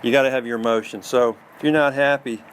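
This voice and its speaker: A man's voice: medium pitch, fairly even intonation, quick pace, a little hiss or hum, rough recording. Rambling folksy man talking to camera. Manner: rambling, folksy, repeats himself. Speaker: trading video maker. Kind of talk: casual talk to camera.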